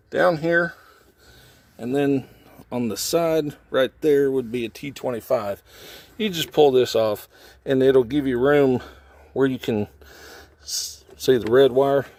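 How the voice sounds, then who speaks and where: Male DIY host, folksy and informal, mid-pitched, explaining hands-on work step by step as he goes. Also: creaky, a little mellow, no room sound. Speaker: how-to video host, trucker. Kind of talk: casual hands-on tutorial, talking while working. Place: in and around a truck cab.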